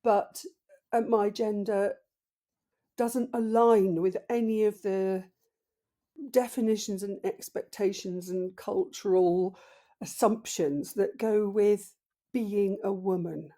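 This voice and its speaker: A woman in her fifties with a deep voice, a podcast guest talking slowly and thoughtfully in a casual interview. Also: fairly even intonation, mellow. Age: 50s. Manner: slow, thoughtful, reflective.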